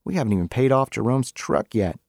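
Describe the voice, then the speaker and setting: Man playing a blunt weary wife, envious, complaining, colloquial, lifted above his usual pitch, short-story dialogue. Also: animated, a little fast, a little mellow, dry recording, studio quality.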